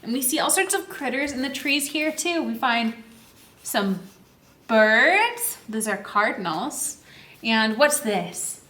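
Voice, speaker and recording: Female children's storyteller, fairly high and slow-paced, sing-song and exclaiming, recorded with noticeable room echo. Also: animated, bright, forceful tone. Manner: sing-song, exclaiming, asks questions and answers them. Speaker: children's librarian hosting story time. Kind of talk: read-aloud and explaining to young children.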